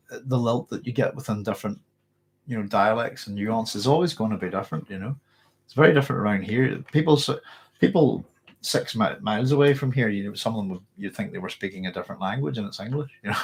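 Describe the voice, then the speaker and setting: Husky Northern Irish man with a tickly cough. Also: fairly low pitch. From Northern Ireland. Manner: rambling, chatty, frequent fillers and restarts. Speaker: live stream host. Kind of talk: casual live chat reading comments.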